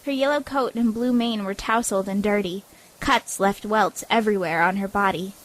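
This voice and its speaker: A woman's voice: medium pitch, normal speed, rough recording. A woman reading scripted story narration as the narrator of an audio drama.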